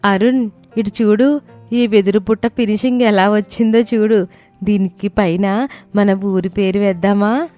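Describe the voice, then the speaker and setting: A resourceful young daughter-in-law played by a woman in a moral story. Her voice is soft-edged and mellow at a middle pitch, encouraging in manner, over music. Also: steady tone, measured pace, close to an everyday voice. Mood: busy, eager. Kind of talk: moral story character acting.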